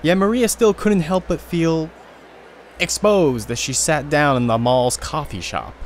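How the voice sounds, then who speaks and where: A man's voice: a little high, measured pace, a little hiss or hum. A man narrating an audio drama in a casual storytelling manner.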